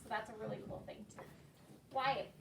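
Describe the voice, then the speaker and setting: A woman with a fairly high voice leads a read-aloud discussion as a schoolteacher. She explains things simply and encouragingly for children. The recording is distant and echoey, with clear noise and clicks. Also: fairly quick. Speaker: elementary school teacher.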